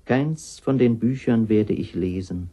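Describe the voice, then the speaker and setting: A man's voice: fairly low pitch, unhurried, dark tone, slightly rough. A man giving a solemn, reflective reading of literary prose for radio, slightly muffled. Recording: slightly dull, muffled sound.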